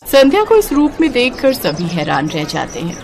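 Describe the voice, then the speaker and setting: A woman narrating a scripted cartoon family story in an expressive storytelling manner. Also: on the high side, moderate pace, creaky, background noise.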